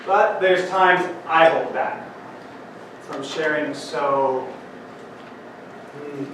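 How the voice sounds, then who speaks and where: A man from Colorado performing spoken word poetry and talking to a conference audience, dramatic, slow, swinging between loud and soft and sometimes breaking into song. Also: fairly high pitch. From Denver, Colorado. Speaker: poet and speaker at a conference. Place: large conference hall. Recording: very echoey hall, from far off, noisy.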